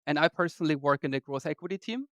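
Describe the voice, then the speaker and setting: A man, a podcast guest explaining his work in a measured, polite way. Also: a little high, expressive, a bit bright, no echo. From Austria. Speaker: guest investor. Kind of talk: podcast interview.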